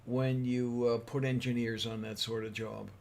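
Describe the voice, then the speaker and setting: A man's voice: medium pitch, level tone, moderate pace, vocal fry, darkish tone. A man co-hosting a casual chat, joking and rambling with lots of fillers. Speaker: podcast co-host.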